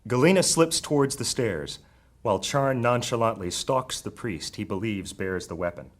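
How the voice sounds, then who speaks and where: A man narrating a high fantasy audio drama with dramatic storytelling delivery. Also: expressive, moderate pace. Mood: dramatic, grave. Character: male narrator of dark high fantasy adventure.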